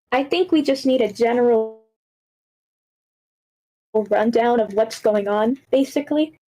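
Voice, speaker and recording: High-voiced woman giving casual feedback. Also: a little mellow, rough recording. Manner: informal, rambling, frequent "like" and swearing. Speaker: participant giving script feedback. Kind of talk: casual spoken critique.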